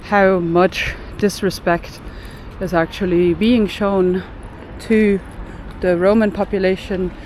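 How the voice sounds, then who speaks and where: Woman, vlog co-host, earnest, argumentative, outdoors, clear street noise. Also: medium pitch, fairly even intonation, takes it slowly, hardly any pauses, a little mellow, low-quality recording. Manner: earnest, argumentative, explains with figures. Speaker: travel vlogger, co-host. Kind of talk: casual vlog narration. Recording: street traffic noise behind.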